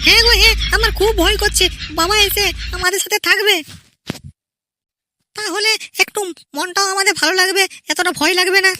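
A woman's voice: very high voice, fairly even intonation, brisk, pushed and bright. A woman voicing a naive, anxious young village wife in an amateur comedy cartoon, speaking in a pleading manner. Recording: very noisy amateur cartoon audio.

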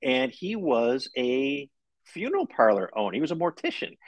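A middle-aged man with an American accent talks as an interview guest, explaining things conversationally. His pitch is ordinary for a man, and the sound is dry, with no real echo. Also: sing-song, normal speed. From Buffalo, New York, United States. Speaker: guest, novelist. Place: room at home, video call.

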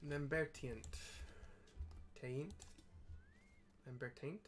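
A middle-aged man giving casual, unhurried game commentary in a small room at home, with muttered asides and game music behind him. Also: mid-range voice. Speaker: game streamer. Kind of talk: casual let's-play commentary.

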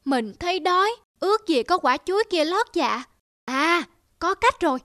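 A woman's voice: extremely high pitch, lively intonation, normal speed, fairly bright tone, no echo, clean studio sound. A woman voicing a stubborn, proud young wolf in a cartoon fable, indignant and declamatory. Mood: dejected.

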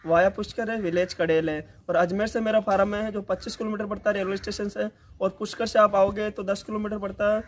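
A man's voice: sits high, slight background noise. A young man from Rajasthan giving a chatty, repetitive sales walkthrough of his goat farm, recorded on a phone outdoors. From Rajasthan, India. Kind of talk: casual walkthrough sales pitch. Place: outdoor goat pens and sandy shed. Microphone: phone camera held close. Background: bleating goats.

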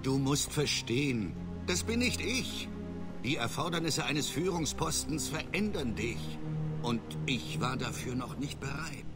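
A male actor plays a disgraced middle-aged starship captain in a video game. He is formal and measured, forever excusing himself and dodging blame, in a mid-pitched male voice over very loud music. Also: animated, close to an everyday voice. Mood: defensive. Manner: formal, measured, self-justifying. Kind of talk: video game voice acting, German dub.

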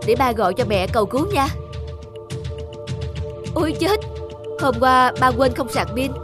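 A woman voicing a patient cartoon father who gently explains and teaches things to his young son. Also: high-pitched, lively intonation, moderate pace, music in the background. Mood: flustered. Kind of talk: cartoon voice acting.

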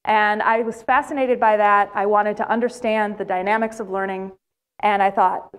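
American woman lecturing, lively. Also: mid-range voice. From United States. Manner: animated storytelling with questions to the audience. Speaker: plenary speaker. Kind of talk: lecture to a hall audience. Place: large lecture hall.

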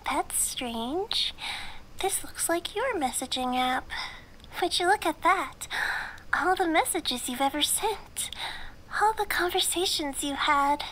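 A woman's voice: extremely high pitch, animated, slow pace, bright, forceful tone, slight background noise, exaggerated voice, rough recording. A woman playing a possessive, jealous young girlfriend in an ASMR roleplay, sweetly menacing and teasing, slipping into whispers. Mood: probing, menacing. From Canada.

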